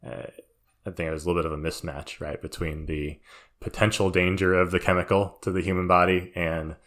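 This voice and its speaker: A man's voice: low voice, level tone, a little fast, fairly bright tone. A man co-hosting a podcast, reasoning aloud thoughtfully in casual chat. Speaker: podcast co-host.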